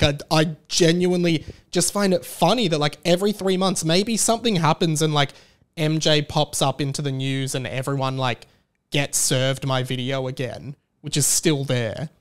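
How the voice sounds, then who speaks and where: A man hosting a casual, comedic solo podcast, rambling bluntly and swearing freely. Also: a little high. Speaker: podcast host.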